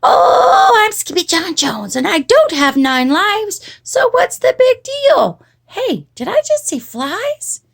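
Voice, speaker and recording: Storybook kitten boy acted by a woman: excitable little show-off, high and very animated, playful Spanish flourishes. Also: slow, gravelly, few pauses, heavily acted. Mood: excited.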